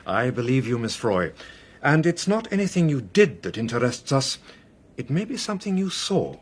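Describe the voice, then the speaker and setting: Radio drama: government investigator, slightly rough voice, expressive pitch, methodical, polite, in command. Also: male voice, normal speed. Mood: probing, courteous. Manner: methodical, courteous, authoritative. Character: measured, probing male British government official.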